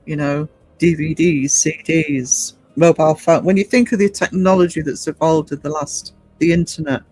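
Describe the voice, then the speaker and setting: The fifty-something man running an online panel stream, slightly high voice, musing haltingly between viewer comments over soft background music. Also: steady tone, poor audio. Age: 50s. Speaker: livestream host reading viewer comments. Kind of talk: casual discussion.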